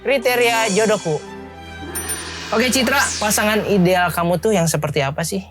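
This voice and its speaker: Low-voiced woman hosting. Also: lively intonation, fairly quick. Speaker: show host. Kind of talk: presenting a dating show, bantering with contestants. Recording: music playing behind the voice. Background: music.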